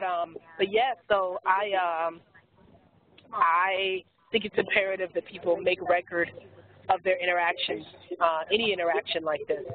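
A woman speaking as an activist on a webinar panel, hoarse from losing her voice, emphatic and earnest with frequent restarts. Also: on the low side. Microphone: telephone handset. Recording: tinny phone line with faint hiss.

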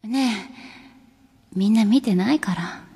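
A woman playing an intimate, emotional young lover with a breathy voice. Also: medium pitch, measured pace, dark tone, big-room sound. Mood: intimate, teasing.

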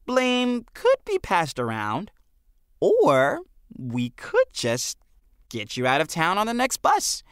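A man's voice: sits high, huge pitch swings, slow pace, clear, resonant tone, no echo, clean studio sound, voice pushed up, full character voice. Man as a droll, mock-formal teenage boy. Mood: dryly reasoning. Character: droll teenage boy, pompous wheeler-dealer friend.

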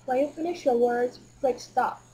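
A woman reading scripted narration for a software tutorial. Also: high-pitched, moderate pace, slight background noise, rough recording.

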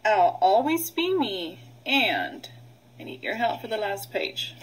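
Slow-paced woman teaching young children. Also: medium pitch, sing-song, slight background noise, rough recording. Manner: instructional, short simple phrases with pauses. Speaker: preschool teacher. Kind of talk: children's read-aloud and vocabulary drill.

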